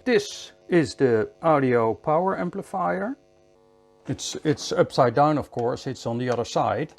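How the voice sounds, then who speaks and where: A man giving a hands-on electronics repair tutorial in a measured, step-by-step way, often repeating short phrases. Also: medium pitch, expressive, creaky, soft background music.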